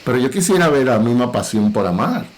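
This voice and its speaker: A middle-aged man with a Dominican Cibao accent, a pastor speaking earnestly and in a measured way on a parenting panel. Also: medium pitch, very animated. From Dominican Republic.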